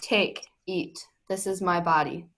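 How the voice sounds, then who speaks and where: Solemn religious teacher in a scripture drama, read by a woman, lower-pitched, home video-call sound. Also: steady tone, takes it slowly, fairly bright tone. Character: Jesus, a solemn male religious teacher foretelling his death.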